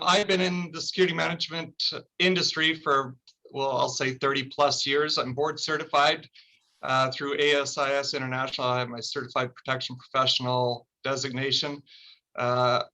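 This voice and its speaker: Middle-aged male panelist, bright, slightly high voice, friendly measured delivery, video call. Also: little pitch movement, poor audio. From Canada. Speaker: security advisor, guest panelist. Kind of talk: webinar panel introduction.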